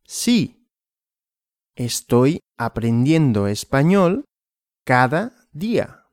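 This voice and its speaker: A man giving a slow language lesson, asking simple questions and answering them himself in a deliberate, repetitive way. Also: mid-range voice, big pitch swings, no echo, studio quality. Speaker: Spanish teacher.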